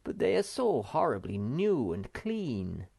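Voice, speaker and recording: A woman voicing a wistful, unhurried male wanderer who speaks in a slow, reflective, homespun way. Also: a bass voice, very animated, vocal fry, mellow, husky, full character voice.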